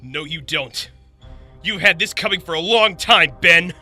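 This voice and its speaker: A man's voice: fairly high pitch, unhurried, music in the background, crowd noise, close to an everyday voice. A man playing an earnest, commanding hero in a comic book audio drama, declaiming as if making a speech. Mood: furious. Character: earnest, commanding heroic leader of superhumans.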